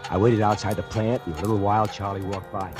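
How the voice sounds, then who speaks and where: A man's voice: on the low side, fairly even intonation, fast talker, natural voice. An actor playing a scheming factory worker who narrates his own murder plot, confiding and gloating, in 1940s radio acting. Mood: sly. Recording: old radio broadcast with music.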